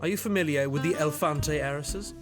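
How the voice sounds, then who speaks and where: An actor playing a calm, dry-witted detective in an audio drama. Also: male voice, on the high side, a little fast, over music, lightly acted. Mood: wry. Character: calm, wry detective, adult man.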